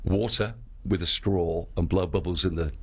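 Husky deep-voiced man, chatty. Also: fairly even intonation, moderate pace. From Australia. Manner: chatty, laughs easily, acts out quoted voices. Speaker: town crier guest. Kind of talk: casual interview chat.

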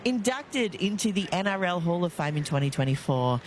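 A woman's voice: on the low side, big pitch swings, normal speed. A woman with an Australian accent hosting live talkback radio outdoors, chatty and self-mocking, laughing easily. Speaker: radio host. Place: outdoors outside a stadium, live broadcast. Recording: faint outdoor background noise. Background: faint ambient noise.

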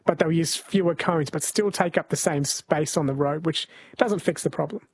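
A woman's voice: low voice, normal speed, darkish tone, husky, no echo. A woman hosting a radio chat, playful and chatty with some hesitant restarts. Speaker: radio host.

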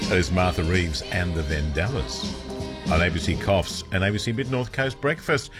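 An Australian man hosting a local breakfast radio show, interviewing a guest in a relaxed, slightly halting way. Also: sits low, over loud music. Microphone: studio microphone.

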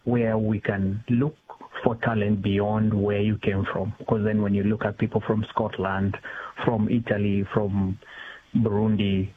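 A middle-aged man giving spontaneous interview answers, haltingly, repeating words as he thinks. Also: on the low side, little pitch movement. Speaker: interview guest, community executive officer. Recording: tinny phone line with hiss.

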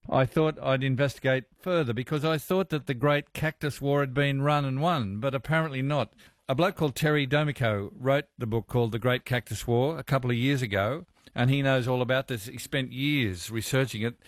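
An Australian man hosts a radio interview, relaxed and conversational. His voice sounds close and dry, with no room around it. His pitch is average for a man. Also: a little fast, no room sound. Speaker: radio host.

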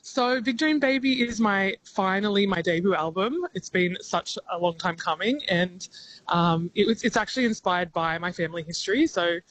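An Australian woman, a singer-songwriter, talking thoughtfully in a radio interview over the phone, laughing often and pausing with ums. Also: mid-range voice, fairly bright tone, dry recording, rough recording. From regional New South Wales, Australia. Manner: thoughtful, giggly, many ums and restarts.

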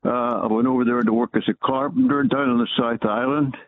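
A man's voice: mid-range voice, fairly even intonation, a little fast, no room sound. A man with a Northern Irish accent calling in to a radio show, chatting easily and telling a story. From Northern Ireland. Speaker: caller. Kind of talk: casual phone-in chat. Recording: tinny phone line.

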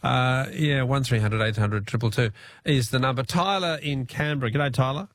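A man's voice: medium pitch, fairly quick, a bit bright, dry recording, studio quality. A man with an Australian accent hosting talkback radio, chatty, often restarting his sentences.

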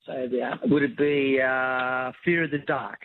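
An older man from New South Wales with an Australian accent, calling in to a radio show, good-humoured and hesitant, with many pauses. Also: medium pitch, steady tone, dry recording, narrow, tinny audio. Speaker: caller. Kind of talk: phone-in radio chat.

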